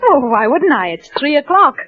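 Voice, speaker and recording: A woman's voice: high-pitched, big pitch swings, brisk. An actress playing an uneasy young wife who narrates her story, speaking hesitantly with restarts, in a 1940s radio drama. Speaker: narrator and lead character. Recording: dull lo-fi 1940s radio with music.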